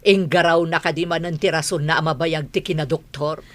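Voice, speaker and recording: A woman's voice: low voice, fairly even intonation, brisk, natural voice. A woman playing a proud, insistent mother and mistress of a household in a radio drama, speaking defensively. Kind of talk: Filipino radio drama acting.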